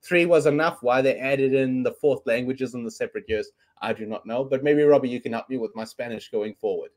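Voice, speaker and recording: A man's voice: medium pitch, a little fast, a little mellow. A South African man in his thirties, Afrikaans his first language, hosting a casual sports talk show from his bedroom over a video call, rambling with plenty of ums. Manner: chatty, rambling, lots of ums. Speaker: podcast host. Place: bedroom at home. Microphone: webcam on video call.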